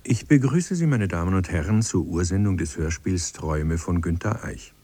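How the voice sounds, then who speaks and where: A man's voice: low-pitched, animated, moderate pace, loud music behind the voice. A man narrating a scripted radio feature as its presenter.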